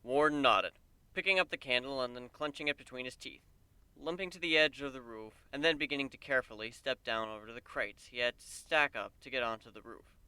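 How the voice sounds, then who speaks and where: A man narrating a story as a dramatic reading, in a measured, descriptive manner. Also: medium pitch, bright, forceful tone, clean tone, no echo. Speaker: fan fiction narrator.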